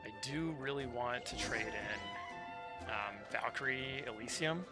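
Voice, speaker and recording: A man's voice: medium pitch, takes it slowly. A man streaming a game who chats casually and rambles while the game plays. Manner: rambling, chatty, talks over game audio. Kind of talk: casual chat while gaming. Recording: stream with loud game music.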